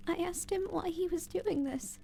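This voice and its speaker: Woman voicing a very high-pitched earnest little skeleton boy. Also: moderate pace, vocal fry, warm tone, slight background noise. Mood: sad, puzzled. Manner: earnest, simple, reassuring. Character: hopeful young skeleton brother, a comforting, innocent little boy. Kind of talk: animated comic dub acting.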